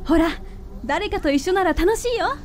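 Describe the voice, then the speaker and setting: A playful young woman in love, radio drama, very high girlish voice, some background noise. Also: animated, moderate pace. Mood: cheerful. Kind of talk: Japanese radio drama acting.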